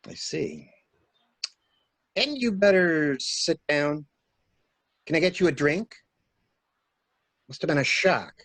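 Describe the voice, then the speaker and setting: A man's voice: a little high, sing-song, slow pace, pauses often, fairly bright tone, no room sound, exaggerated voice. A man playing a talkative, flustered stranded engineer with a polite, stammering, theatrical manner in a murder mystery reading. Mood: calmly inquisitive. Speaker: actor in an amateur library reading.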